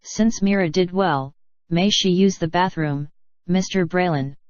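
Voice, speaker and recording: A woman's voice: on the low side, a little slow, darkish tone, dry recording, natural voice. A synthetic female narrator voicing a meek, deferential servant woman in an audiobook, with stilted delivery. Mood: timid, hopeful. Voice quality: synthetic text-to-speech voice. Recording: dull, lo-fi audio.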